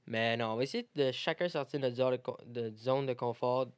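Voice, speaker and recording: A man's voice: medium pitch, moderate pace, clear, resonant tone. A young man with a French Canadian accent, chatting informally as a podcast co-host. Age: young adult. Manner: informal, conversational. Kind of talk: casual podcast chat.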